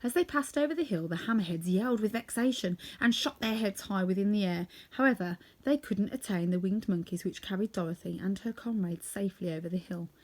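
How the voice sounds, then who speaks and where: A woman's voice: mid-range voice, fairly quick, few pauses. Woman reading a children's story aloud. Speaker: storybook reader. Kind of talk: scripted children's story narration.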